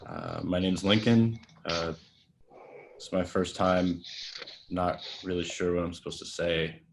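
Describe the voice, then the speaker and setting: Very low male voice, young, reckless, defensive, hesitant, naturalistic drama read, acted by a man. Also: steady tone, a little slow, slight background noise. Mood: awkward, defensive. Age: young adult. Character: reckless, defensive young hot-shot actor. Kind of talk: screenplay table read, naturalistic acting.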